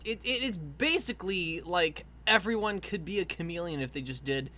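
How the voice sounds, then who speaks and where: Bright-voiced rambling stream host. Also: medium pitch, animated, normal speed, slight background noise. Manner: rambling, laughing, swears freely. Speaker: livestream host. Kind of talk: casual livestream chat while animating.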